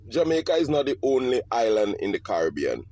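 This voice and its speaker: A man's voice: mid-range voice, very animated, a little fast, mellow, no room sound. A man from Dominica making a formal, declaring statement. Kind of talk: announcement-like statement.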